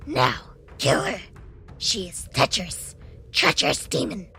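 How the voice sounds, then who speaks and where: A hostile, hot-tempered fairy envoy in a fantasy audio drama. The voice is bright and cutting with a heavy creak, and spits words out slowly. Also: female voice, on the low side, very animated, slight background noise, full character voice. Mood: bloodthirsty. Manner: spitting, hostile.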